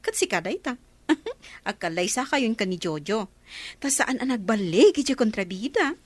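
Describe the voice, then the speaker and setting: A woman's voice: fairly high pitch, moderate pace, no room sound. A woman with an Ilocano accent playing a teasing, gossipy village friend in a radio comedy drama. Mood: teasing, merry. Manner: animated, teasing.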